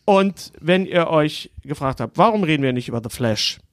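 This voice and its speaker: High-voiced, lively male podcast host. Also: normal speed, no room sound, clean studio sound. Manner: playful, repeats himself emphatically. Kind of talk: casual banter.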